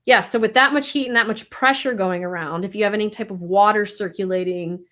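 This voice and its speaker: A woman in her thirties explains science in loose, candid conversation. She's animated and blunt, tossing in the odd curse and a laugh, heard over a remote call mic. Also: mid-range voice, brisk. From Mississippi Gulf Coast. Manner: frank and animated, swears casually, laughs often. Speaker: guest scientist. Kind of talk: casual interview chat.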